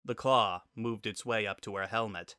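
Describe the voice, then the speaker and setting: Low, crisp-edged male story narrator. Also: steady tone, moderate pace, sharp tone, dry recording, clean studio sound. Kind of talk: scripted dramatic story narration.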